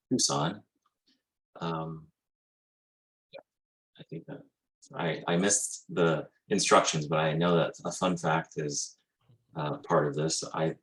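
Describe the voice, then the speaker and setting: Young guy w a super deep voice, casual intro on a zoom panel. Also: a bit bright, poor audio. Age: young adult. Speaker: student panelist. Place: video call.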